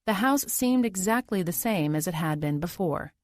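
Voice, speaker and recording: A woman's voice: lowish voice, lively intonation, normal speed, darkish tone, dry recording, clean studio sound. A woman reading scripted thriller audiobook narration.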